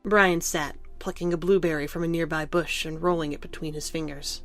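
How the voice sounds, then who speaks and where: Female storybook narrator for an audio drama, quite deep voice, light music underneath. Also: steady tone, fairly quick.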